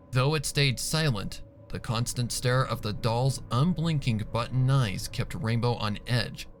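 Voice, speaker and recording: Slow-paced man narrating a dark story. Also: medium pitch, slight background noise. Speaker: fan fiction reader. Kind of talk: scripted dramatic story narration. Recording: music bed under the narration.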